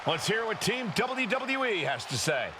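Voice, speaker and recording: A man playing an excited wrestling play-by-play commentator in a video game. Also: high voice, moderate pace, crowd noise. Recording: very noisy arena ambience.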